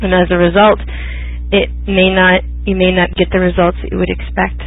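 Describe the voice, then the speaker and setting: Man, high voice, dry tinny voice-chat sound, rambling religious class teacher. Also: monotone, normal speed, gravelly, no echo, soft background music. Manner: rambling, restarts phrases often. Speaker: Torah study teacher. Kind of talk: casual online class lecture. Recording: dry, phone-like online voice chat.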